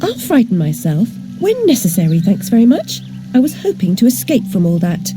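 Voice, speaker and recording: Young British game heroine: highish, animated, tart and guarded, music behind. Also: female voice, normal speed. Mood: irritated, tart. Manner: dry, tart, articulate. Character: sharp-tongued, guarded young British woman. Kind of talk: scripted video game acting.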